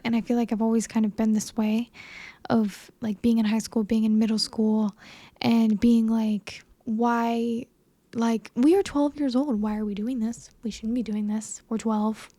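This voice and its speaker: Woman, early 20s, casual vlogger. Higher-pitched with noticeable fry, candid and a bit self-conscious. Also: dark tone, dry recording. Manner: earnest, self-conscious, conversational. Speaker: vlogger, young filmmaker. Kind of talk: candid casual monologue to camera.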